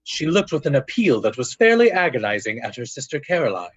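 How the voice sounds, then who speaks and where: A man's voice: medium pitch, big pitch swings, a little fast, lo-fi sound. A man giving dramatic scripted narration in the style of an old-time radio drama.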